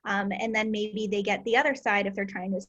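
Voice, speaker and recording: A woman's voice: medium pitch, little pitch movement, a little fast, fairly bright tone, lo-fi sound. Earnest woman hosting a discussion. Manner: earnest, emphatic, reflective. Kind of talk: casual discussion.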